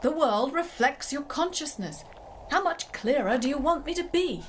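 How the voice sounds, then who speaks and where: A woman playing a wise, cryptic sorceress mentor in a theatrical drama, measured, poetic and instructive. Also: sits high, lively intonation, noisy background, pitched above the performer's own voice. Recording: music under the voice.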